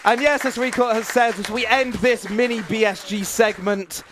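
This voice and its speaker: High-voiced male event host, noisy background. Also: fairly even intonation, moderate pace, a crowd in the background. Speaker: marathon host reading donations. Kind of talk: upbeat event hosting. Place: live gaming marathon event. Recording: noisy live event, clicks.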